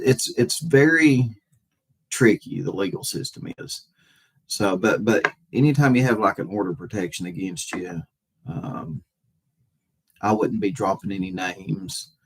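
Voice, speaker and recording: A man with a fairly low, husky voice hosts a casual livestream. He speaks off the cuff to his viewers in a halting way, often circling back to repeat a phrase before moving on. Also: low-quality recording. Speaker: livestream host talking with chat viewers.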